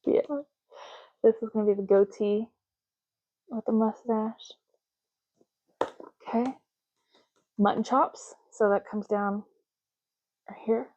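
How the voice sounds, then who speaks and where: A woman speaks softly in an ASMR roleplay style, with a dark, relaxed tone at a medium pitch. She rambles gently and takes her time, addressing the listener one to one. The recording is a little dull and muffled. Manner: unhurried, rambling, talking intimately to the listener. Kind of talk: soft-spoken ASMR roleplay.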